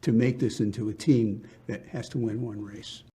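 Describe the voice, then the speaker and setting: Dark, husky-voiced man. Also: mid-range voice, steady tone, normal speed.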